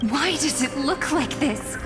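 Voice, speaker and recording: A grieving young fantasy game heroine with a fairly high voice. She speaks slowly and solemnly, with wistful weight, in a long echoing reverb. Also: animated. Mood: anguished, questioning. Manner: solemn, wistful, measured delivery. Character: sorrowful young heroine of a doomed fantasy world. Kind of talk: dramatic video game voice acting. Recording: long reverb with music behind.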